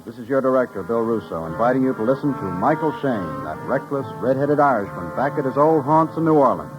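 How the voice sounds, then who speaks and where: A male announcer in vintage 1950s radio style, dramatic and declamatory. His mid-pitched voice swings widely over prominent music. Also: lively intonation, brisk. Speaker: announcer and director. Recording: muffled old radio, loud music behind.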